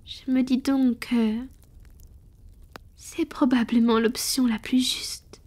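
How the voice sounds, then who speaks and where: Casting: tender, morally torn vampire lady played by a woman, high breathy voice, whispered intimate ASMR roleplay delivery. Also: level tone, darkish tone. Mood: troubled, reflective. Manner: slow, intimate, hesitant. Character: tender, conflicted wealthy female vampire confiding in her beloved.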